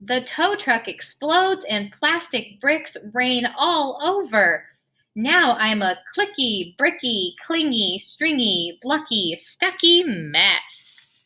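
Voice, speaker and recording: Bright, animated woman reading a picture book. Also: high-pitched, slow pace. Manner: expressive, playful sound words. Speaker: storybook reader. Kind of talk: children's picture book read-aloud.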